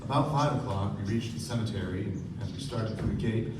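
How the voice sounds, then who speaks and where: An actor playing a reflective, disillusioned young man, narrating a staged literary radio play in a measured, solemn way. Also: fairly low pitch, very noisy, natural voice. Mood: somber, reflective. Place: live theatre stage. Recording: echoey hall, distant mic, loud music behind.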